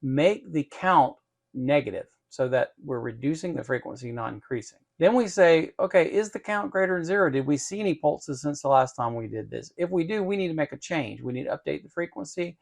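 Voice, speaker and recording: A man's voice: fairly high pitch, expressive, a little fast, vocal fry. A man giving an informal technical code walkthrough, methodical and repeating phrases for emphasis. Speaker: electronics channel host and programmer.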